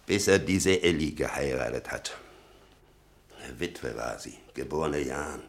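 Very deep, gravelly old father. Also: animated, heavily acted. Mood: proud, wistful. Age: older. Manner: halting, brooding, muttering with sudden emphasis. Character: bitter, doting old father of an imprisoned son. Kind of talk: 1960 German radio play acting.

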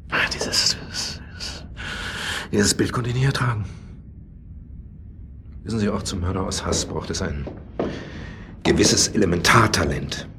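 An actor playing a bitter, agitated husband in a German radio play, confessing in a halting, brooding way and repeating his words. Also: deep voice, gravelly, pushed and bright, exaggerated voice.

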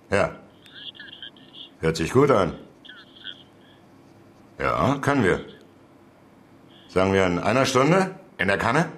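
A man's voice: sits low, a bit bright, exaggerated voice. A man playing a seasoned, dry investigative reporter in a naturalistic radio drama, curt and probing. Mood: casual.

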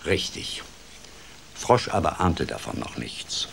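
A man's voice: rumbling low, takes it slowly, gravelly, a little hiss or hum, heavily acted. An actor playing a playful, wry older grandfather telling a fairy tale expressively in a radio play. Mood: dramatic storytelling. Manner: expressive, dramatic, shifts between hushed and lively. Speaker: storyteller.

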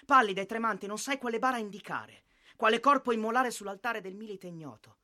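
The ghost of a young soldier in a theatrical radio play. His voice is bright and forceful. He declaims with bitter pride and disillusion, stressing each phrase dramatically. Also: high-pitched, brisk. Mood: bitter, accusing. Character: dead young Italian soldier son, bitter, disillusioned, proud.

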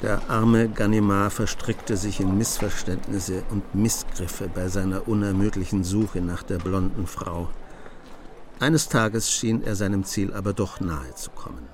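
Low-pitched male radio drama narrator. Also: measured pace, a little hiss or hum.

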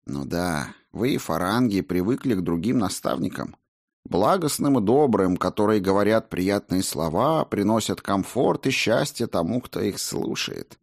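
A man reading as a low-voiced, stern monk teacher. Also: expressive, measured pace, no room sound, clean studio sound. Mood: scornful. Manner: didactic, blunt. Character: stern, scornful male Buddhist monk teacher.

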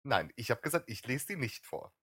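A man trades teasing banter with his co-host on a casual podcast stream, poking fun at her. His voice is mid-pitched and bright. The sound is dry and close to the mic. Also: sing-song, a little fast, slightly rough, no echo.

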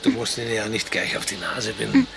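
A man's voice: fairly low pitch, big pitch swings, brisk, creaky, a crowd in the background. An actor playing a put-upon, kindly servant in his fifties in a radio play, with a polite, hesitant, earnest manner. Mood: friendly, bashful. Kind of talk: naturalistic radio play acting.

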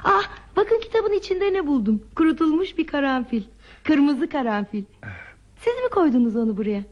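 An actress playing a tender, curious woman in a radio play, with a soft, dramatic and inquisitive way of talking. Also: high voice, big pitch swings, moderate pace. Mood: playfully curious. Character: tender, curious woman drawing out a shy man's memories. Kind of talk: radio theatre acting. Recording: dull, muffled radio drama recording.

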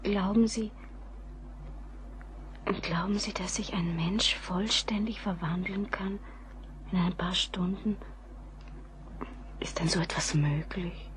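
An actress playing a troubled young bride in a German radio play, speaking in a soft, hushed and hesitant way. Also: female voice, lowish voice, fairly even intonation, slow pace, long pauses. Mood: anxious, searching. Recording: faint background noise.

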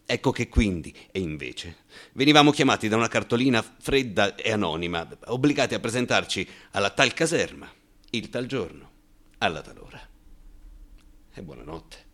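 Deep-voiced anxious, ironic man. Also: lively intonation, fairly bright tone. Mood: bitter irony. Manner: halting, rhetorical asides, swings from muttering to outbursts. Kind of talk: theatrical radio monologue.

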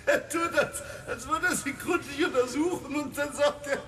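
Shrill, giggling deranged corporal. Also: male voice, sharp tone, echoey room, far from the mic, a little hiss or hum, full character voice. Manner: manic, giggling and halting, stammering repetitions. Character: deranged young male army corporal, giggling psychopath. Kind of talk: radio drama acting.

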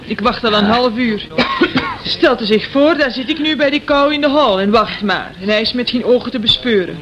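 A woman's voice: a little high, normal speed, close to an everyday voice. Actress as gossipy female neighbour, radio play, complaining, chatty, muffled old recording, noisy background. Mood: gossipy, grumbling. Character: gossiping, grumbling female apartment-house neighbour.

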